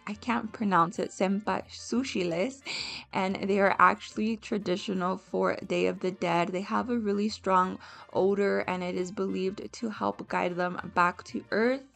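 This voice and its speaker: A woman's voice: mid-range voice, steady tone, unhurried, gravelly. A young woman narrating a home cooking and decorating video in a friendly, chatty voice-over, speaking directly to her viewers. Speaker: home vlogger. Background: soft background music.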